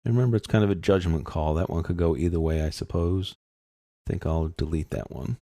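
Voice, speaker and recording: A man narrating a step-by-step software tutorial in an informal, explanatory way, thinking aloud as he works. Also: deep voice, brisk, no room sound, clean studio sound.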